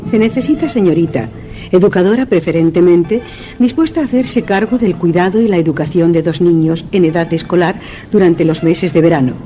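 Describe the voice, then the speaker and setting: Actress as formal lady employer, mellow dark timbre, measured, courteous, radio drama, faint music. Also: fairly low pitch, lo-fi sound, close to an everyday voice. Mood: formal, businesslike. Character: formal, exacting lady employer reading out her letter.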